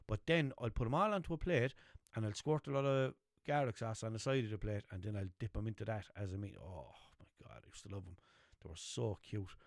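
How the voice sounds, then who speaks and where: A man's voice: lowish voice, darkish tone, no room sound, clean studio sound. A young man with a Limerick accent hosting a podcast, rambling casually, swearing freely and checking in with listeners. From Ireland. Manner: chatty, rambling, swears freely, asks listeners "know what I mean". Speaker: podcast host.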